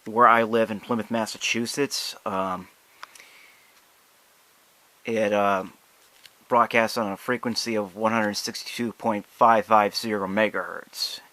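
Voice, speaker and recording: Bright-voiced American man, halting and low-pitched. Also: fairly even intonation, low-quality recording. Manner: halting, hesitant delivery with drawn-out pauses. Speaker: product reviewer. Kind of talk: casual unscripted product demonstration.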